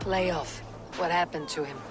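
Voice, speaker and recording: A woman's voice: on the low side, fairly even intonation, a little slow, background noise, natural voice. An actress playing a tough, blunt warrior woman who questions people in a direct, firm way for a video game. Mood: probing. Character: tough, blunt female warrior investigating a death. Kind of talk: video game character acting.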